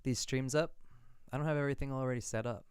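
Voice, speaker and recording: A man's voice: medium pitch, steady tone, fast talker, clean tone, no echo, clean studio sound. A man chatting casually on a livestream while producing music, thinking aloud in an informal way. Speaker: music producer live streaming.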